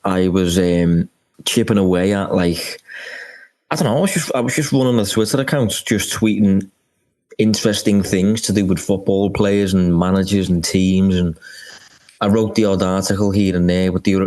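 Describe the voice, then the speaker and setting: A man's voice: low-pitched, fairly even intonation, normal speed, a little mellow. A young man with a Liverpool accent, a football analyst answering interview questions hesitantly, with fillers and restarts. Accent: Liverpool (Scouse). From Liverpool, England.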